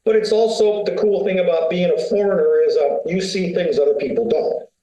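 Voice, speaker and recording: A man's voice: sits high, a little fast, dark tone, echoey room, poor audio. A man, telling long rambling stories as a podcast guest, full of fillers and asides. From United States. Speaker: winery founder, guest. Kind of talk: casual interview talk.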